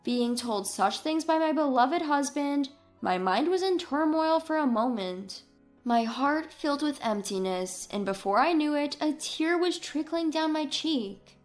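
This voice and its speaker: A woman's voice: high voice, lively intonation, measured pace, faint music behind. A woman reading a scripted first-person story as its narrator.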